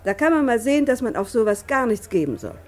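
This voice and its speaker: High-voiced, fussing, tender mother. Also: very animated, fast talker, a little mellow. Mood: chatty, puzzled. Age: middle-aged. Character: fussing, tender, unsuspecting mother of a grown son. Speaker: actor in a radio drama. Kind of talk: German radio play acting.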